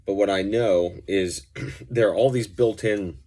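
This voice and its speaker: Guy with a low voice doing a laid back gear demo, kinda rambly and informal. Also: unhurried, dark tone. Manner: rambling, informal, loosely explanatory. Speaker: pedal reviewer and musician. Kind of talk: casual gear demonstration talk.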